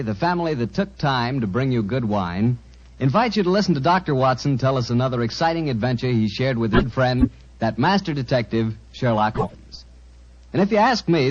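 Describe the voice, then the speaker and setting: Animated American announcer, vintage 1940s radio. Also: male voice, mid-range voice. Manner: folksy, polished salesmanship. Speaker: radio announcer and sponsor pitchman. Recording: dull 1940s radio broadcast recording.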